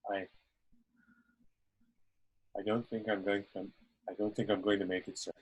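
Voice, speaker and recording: A man playing an earnest, devoted alien crewman who speaks formally and haltingly, in an amateur script read. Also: lowish voice, flat delivery, breathy edge, poor audio. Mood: weak, dying. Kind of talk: amateur screenplay table read.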